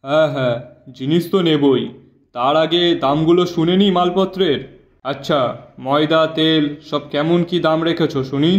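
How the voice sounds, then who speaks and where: A man plays a thrifty village shopper in a cartoon. His voice is a bit high, familiar and chatty, with emphatic phrasing. The recording is slightly dull. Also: moderate pace, close to an everyday voice. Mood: haggling. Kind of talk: Bengali moral-story cartoon dubbing.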